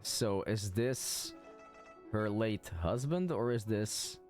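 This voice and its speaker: A man's voice: fairly low pitch, slow pace, darkish tone, faint music behind. A man giving casual, wry playthrough commentary as a game streamer, thinking aloud as he goes.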